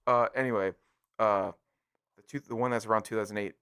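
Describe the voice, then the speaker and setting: A man's voice: fairly low pitch, steady tone, fairly quick, fairly bright tone, clear, resonant tone, dry recording, studio quality. An American man co-hosting a casual gaming podcast, chatting informally and jokily and talking straight to listeners. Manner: jokey, informal, addresses listeners directly. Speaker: podcast co-host.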